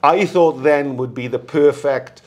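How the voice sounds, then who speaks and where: A man giving rugby analysis as a guest, halting and repeating words as he thinks aloud. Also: on the high side. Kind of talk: casual rugby discussion.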